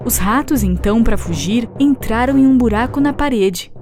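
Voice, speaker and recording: A woman's voice: fairly high pitch, animated, normal speed, darkish tone. A woman with a Brazilian accent narrating a scripted children's story. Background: music.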